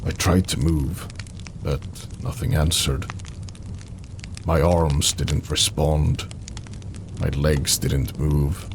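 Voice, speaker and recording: A man narrating an audio drama in the first person, with a somber, measured, dramatic delivery in short phrases and a gravelly voice. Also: rumbling low, background noise. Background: background music.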